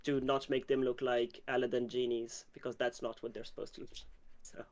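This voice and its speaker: A man's voice: mid-range voice, flat delivery, a little fast. A man from Morocco hosting a casual question-and-answer livestream for a game publishing team. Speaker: stream host and game publisher team member.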